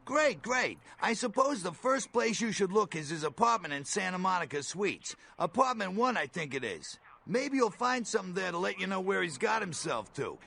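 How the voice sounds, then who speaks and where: A man playing a brash, folksy middle-aged bail bondsman in a video game, with a gravelly voice and blunt, slangy talk. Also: high voice, sing-song, normal speed, hardly any pauses, pushed and bright, heavily acted. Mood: helpful. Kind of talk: video game character dialogue.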